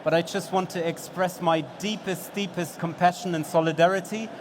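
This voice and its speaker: A man's voice: high voice, fairly even intonation, moderate pace, background noise. A man moderating a conference session, introducing a guest speaker in an earnest, slightly formal way. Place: conference stage.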